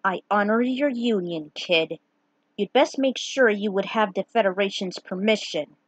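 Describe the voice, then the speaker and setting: A woman's voice: mid-range voice, a little slow, husky, no room sound. A woman reads a proud, honorable warrior man from an amateur story. The manner is formal and cautioning, in a dry recording. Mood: solemn. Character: proud Japanese male warrior, gruffly honorable.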